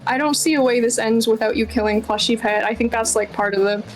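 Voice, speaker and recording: A woman speaks as a guest game developer in a casual interview. Her voice sits fairly high and she talks informally, with plenty of conversational asides. Music plays behind her. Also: steady tone, quick pace, rough recording. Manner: chatty, informal, many "like" and "you know" asides.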